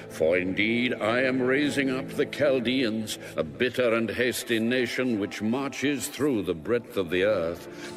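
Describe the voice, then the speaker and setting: An actor playing a stern, majestic God who solemnly declaims judgment in a dramatized audio Bible. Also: male voice, fairly low pitch. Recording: music bed under the voice.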